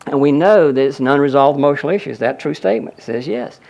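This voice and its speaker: A man's voice: a little high, animated, very fast, vocal fry, darkish tone. A man presenting a healing seminar, explaining a live demonstration to an audience and repeatedly pointing things out. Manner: explanatory, audience-addressing, frequent "look at that".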